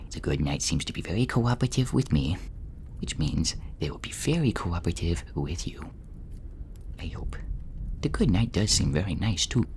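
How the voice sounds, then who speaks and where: A man voicing a nervous, servile assistant to an inquisitor, hushed and hesitant, in a fantasy audio role-play. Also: lowish voice, a little hiss or hum. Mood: nervous, placating. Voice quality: hushed, whispery. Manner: hesitant, deferential, wheedling.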